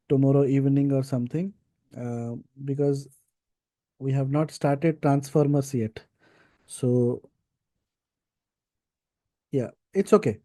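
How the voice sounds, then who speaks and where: Guy teaching coding live, kinda high soft-toned mellow voice, says okay and right a lot. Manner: checks understanding with tags like right and okay. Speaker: data science instructor. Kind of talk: informal live coding lesson, explaining step by step.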